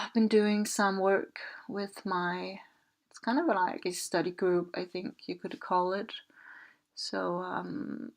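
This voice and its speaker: A young woman from Denmark, a Danish native speaker, chatting softly and hesitantly with many fillers while she narrates a building session. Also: mid-range voice. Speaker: Sims builder and YouTuber. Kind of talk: casual voice-over while building in a game.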